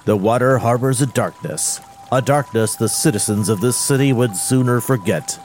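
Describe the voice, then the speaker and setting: A man playing the solemn narrator of a monster audio drama, speaking in a measured, portentous way. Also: mid-range voice, a little mellow, slight background noise, close to an everyday voice. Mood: ominous, solemn.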